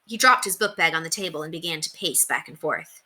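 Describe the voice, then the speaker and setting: A woman narrating a children's book aloud in an expressive read-aloud style. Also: sits low, a little fast, husky. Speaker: storyteller reading aloud to children.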